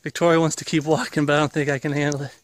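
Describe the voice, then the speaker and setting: A man's voice: on the high side, flat delivery, very fast, no echo. Man talking while walking a wooded trail. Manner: casual, rambling, earnest preaching tone. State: getting over an illness. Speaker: ministry leader. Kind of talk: walk-and-talk video update. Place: outdoors on a wooded path. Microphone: handheld camera at arm's length.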